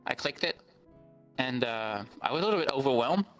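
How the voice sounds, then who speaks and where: A man whose first language is Dutch, a guest on an interview, explaining things earnestly with many pauses and ums. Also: medium pitch, expressive, fairly bright tone, soft background music, low-quality recording. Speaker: guest streamer. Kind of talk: interview conversation.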